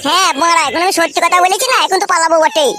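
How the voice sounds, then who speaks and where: Woman doing a sulky, fast-talking cartoon son. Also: very high-pitched, crowd noise. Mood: defiant. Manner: sulky, argumentative, swearing. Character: defiant, aggrieved, crude young cartoon son. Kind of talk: comedy cartoon dubbing.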